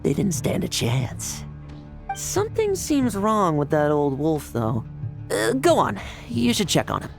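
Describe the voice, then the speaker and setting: Game role: confident, guarded young warrior, swooping delivery, blunt. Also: female voice, low-pitched, wildly swooping pitch, a little slow, vocal fry, exaggerated voice. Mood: confident, casual. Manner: blunt, cocky. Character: brash, wary young fighter, blunt and confident. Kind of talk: video game voice acting. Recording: music behind the voice.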